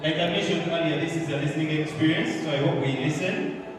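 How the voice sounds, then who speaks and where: A man's voice: a little high, quick pace, recorded from a distance, background noise. A man hosting a live event, talking easily and directly to the audience. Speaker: event host. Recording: echoey hall, mic from afar, crowd.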